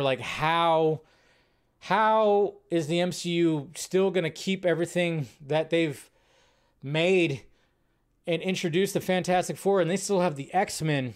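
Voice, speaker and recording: A man hosting a live film news show with casual, unscripted commentary, rambling and thinking aloud with some mild swearing. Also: on the high side.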